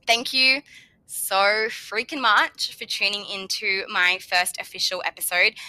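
A young woman hosting a solo podcast, giving chatty, upbeat advice, her voice slightly hoarse. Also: medium pitch, pushed and bright, no echo, rough recording. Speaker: podcast host. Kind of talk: solo marketing podcast talk.

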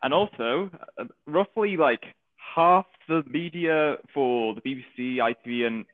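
A man's voice: mid-range voice, measured pace. Man on a tinny call line. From Manchester, United Kingdom. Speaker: podcast host. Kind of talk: casual interview.

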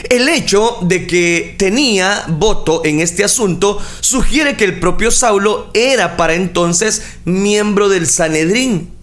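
A man preaching a sermon, speaking earnestly and addressing the listeners directly, with frequent pauses and fillers. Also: high-pitched, a bit bright. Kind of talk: sermon on scripture.

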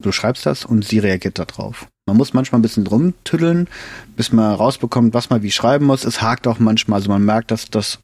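A man co-hosting a podcast in relaxed, casual chat, explaining things plainly and laughing easily. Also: lowish voice, brisk, breathy edge, no echo, clean studio sound. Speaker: podcast co-host.

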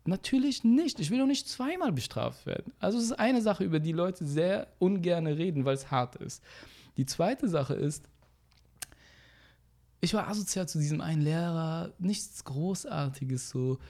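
A young man in casual conversation, telling stories in an animated way with slangy fillers, getting louder when he is indignant. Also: fairly high pitch, normal speed. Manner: animated storytelling, rising loud when indignant. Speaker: guest.

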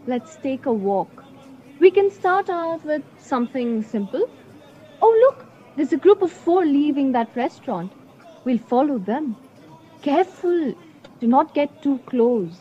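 A woman's voice: high-pitched, sing-song, mellow, highs cut off. A woman acting in an audio drama as a confident leader coolly instructing her partner on a covert exercise. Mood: calm, guiding. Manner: instructing, deliberate, commanding.

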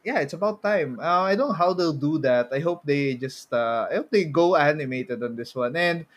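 A man with a fairly high voice and a Filipino accent talks as a podcast co-host. His chat is casual and rambling, and he often circles back to rephrase what he has just said. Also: animated, normal speed. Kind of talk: casual chat about films and games.

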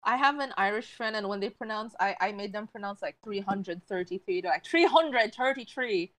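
A woman of middle pitch chats excitedly on a podcast, rushing through anecdotes with plenty of "like"s. Her voice sits close and dry on the mic. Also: no echo, low-quality recording. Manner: excitable, animated storyteller, lots of "like". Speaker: podcast co-host. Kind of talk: casual chat.

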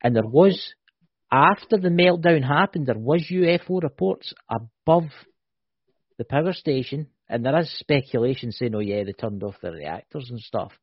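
A man co-hosting a podcast, husky in tone, tossing out one wild theory after another and checking in with "right?" His mid-range voice sounds dull and lo-fi, captured dry with no room around it. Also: medium pitch, moderate pace, no room sound. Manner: animated, speculative, keeps asking "right?". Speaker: podcast co-host. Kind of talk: casual chat.

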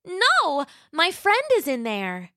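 Woman doing a squeaky little T-Rex girl. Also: wildly swooping pitch, slow pace, pushed and bright, no room sound, studio quality, heavily acted. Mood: pleading. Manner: high, pleading, childlike. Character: sweet young female T-Rex, polite and eager.

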